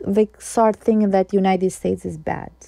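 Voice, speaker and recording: A woman's voice: mid-range voice, steady tone, normal speed, vocal fry, warm tone, no echo. A woman from Kosovo, speaking English as a guest journalist in an interview, earnest and explanatory, often restarting her sentences. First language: Albanian. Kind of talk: interview conversation.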